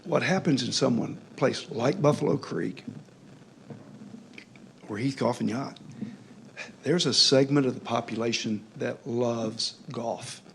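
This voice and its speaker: A man with a strongly gravelly, creaky voice makes a folksy sales pitch at a council meeting. He sounds a little worn, clearing his throat as his voice goes. Faint room noise sits behind him. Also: a little slow, long pauses, rough recording. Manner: confident, folksy, persuasive. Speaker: developer presenting to council. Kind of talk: public meeting presentation.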